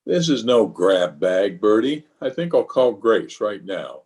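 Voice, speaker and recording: A man's voice: lowish voice, sing-song, measured pace, a little mellow, slight background noise. Guy acting fussy self-important middle-aged town official, easily flustered, old radio comedy. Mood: deliberating.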